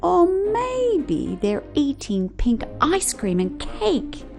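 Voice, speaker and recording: A woman voicing a curious, excitable little boy from a children's storybook, full of wonder and conspiring with his teddy bear. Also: high voice, drawn-out words, vocal fry, voice pushed up, full character voice. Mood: excited, conspiratorial. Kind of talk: children's storybook character reading. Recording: music behind the voice.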